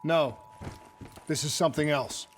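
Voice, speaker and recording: Actor as a resolute soldier, higher-pitched male voice. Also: unhurried, a little hiss or hum, lightly acted. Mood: urgent. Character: resolute, loyal male squad leader soldier. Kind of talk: video game cutscene acting.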